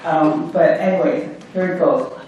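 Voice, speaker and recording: A woman from Hong Kong telling her personal story as a guest speaker at an event, reflective and pausing often with ums. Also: low-pitched, dark tone, lo-fi sound, slight background noise. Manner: reflective, with frequent ums and restarts. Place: event hall. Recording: echoey hall from far off.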